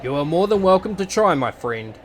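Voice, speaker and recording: A man playing a composed but menacing former champion fighter with a dark, unstable side, speaking formally in a visual novel. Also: high voice, big pitch swings, fairly quick. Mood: calm defiance.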